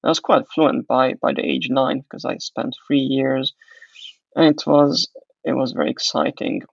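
Young man, interview guest, very gravelly, reflective, dry lo-fi sound. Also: mid-range voice, expressive, normal speed, dry recording. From Hungary. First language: Hungarian. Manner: reflective, restarts and fillers as he recalls. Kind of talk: casual interview.

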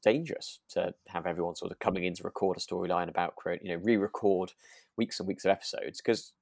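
A man's voice: low-pitched, fairly even intonation, quick pace, gravelly, no echo, clean studio sound. A man co-hosting a podcast, chatting casually in a tentative, hedging, conversational way. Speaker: podcast co-host. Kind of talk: relaxed chat discussing a radio drama.

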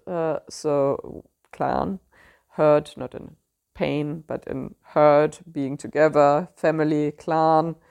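A woman with a German accent talks through her own podcast episode. Her voice sits low with a gravelly, creaky edge, and she often stops on fillers to find the right word. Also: darkish tone. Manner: thoughtful, searching for words with frequent fillers. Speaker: podcast host. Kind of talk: solo podcast talk.

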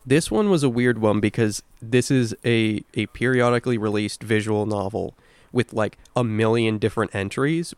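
A man's voice: lowish voice, clean tone, no echo. A man co-hosting a podcast, chatting casually and emphatically about video games in informal, sweary language. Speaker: podcast co-host.

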